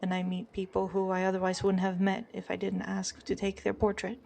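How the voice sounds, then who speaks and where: A woman acting as a guarded, composed female fixer from a Western roleplay. She picks her words with care as a non-native English speaker, and her voice has a strong creaky fry. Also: mid-range voice, completely flat delivery, darkish tone. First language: not English. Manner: measured, careful word choices. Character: composed, guarded female photographer and connections broker in Old West. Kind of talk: improvised roleplay dialogue.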